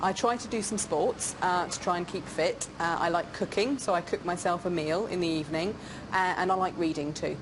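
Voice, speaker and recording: A woman's voice: on the low side, fairly quick, noisy background. A woman answering interview questions in a casual way, with some fillers.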